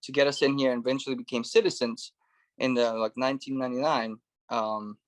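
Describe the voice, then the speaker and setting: A man's voice: mid-range voice, fairly quick. Russian-born man telling his story casually. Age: 30s. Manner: relaxed storytelling with many fillers like "you know" and "right". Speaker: guest, former police officer turned real estate investor. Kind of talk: casual interview conversation.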